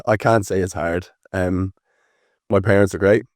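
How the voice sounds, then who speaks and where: A man in his twenties with an Irish accent, chatting as a podcast guest in a relaxed, rambling way with plenty of fillers. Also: sits low, a little slow, dry recording, clean studio sound. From Ireland. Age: 20s. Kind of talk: casual interview chat.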